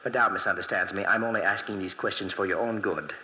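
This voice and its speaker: A man's voice: lowish voice, fast, lightly acted. A man playing an officious, solicitous banker in a 1940s radio drama, speaking formally and insistently. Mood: solicitous, cautioning. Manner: formal, cautioning. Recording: muffled vintage radio recording with long reverb.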